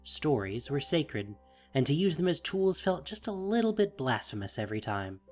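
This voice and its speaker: A man's voice: on the high side, big pitch swings, moderate pace, darkish tone, faint music behind. A man narrating a fantasy audio drama in a dramatic, scripted style.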